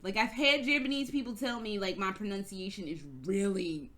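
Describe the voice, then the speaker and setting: A woman's voice: mid-range voice, normal speed, fairly bright tone. Rambling American woman, chatty vlog. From United States. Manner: rambling, swears freely, many restarts and "like"s. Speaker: vlogger. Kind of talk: casual storytelling vlog. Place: indoors, travelling in Germany.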